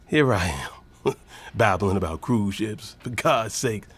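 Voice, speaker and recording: An actor playing an older retired professor, a preachy zealot who rambles earnestly and emphatically in an audio drama. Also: male voice, lowish voice, expressive, slow pace, husky, full character voice. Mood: earnest, rambling.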